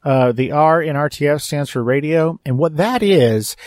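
A man's voice: a little high, expressive, moderate pace, a little mellow, dry recording. A man speaking as a podcast host in a conversational, jokey manner, close on the microphone. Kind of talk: interview and scripted episode introduction.

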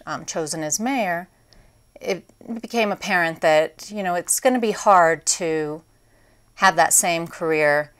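Woman in her forties, mayor guest; low, smooth, resonant voice; measured and upbeat; lapel mic in a small studio. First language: English. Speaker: town mayor. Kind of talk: studio interview answers. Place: small TV studio set, indoors.

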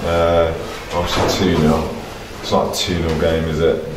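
A man's voice: extremely low pitch, completely flat delivery, moderate pace, background noise. A middle-aged man, speaking casually and colloquially as a football manager in a post-match interview, often repeating his phrases. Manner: colloquial, repeats phrases, addresses listener as mate. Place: indoor stadium corridor with bare block walls. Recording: roomy, distant mic, crowd chatter behind.

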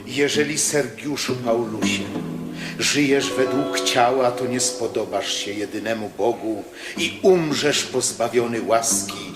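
A man's voice: medium pitch, measured pace, natural voice. A man playing an earnest missionary preacher. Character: devout missionary companion, earnest, persuasive. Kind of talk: radio drama acting. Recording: echoey radio drama studio. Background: music.

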